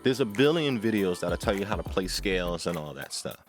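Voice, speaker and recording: A man with a fairly low, very lively voice gives informal instruction as a tutorial host. He phrases things deliberately and with emphasis, often tagging on short rhetorical questions. Music plays behind his voice. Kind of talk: informal instructional talk to camera.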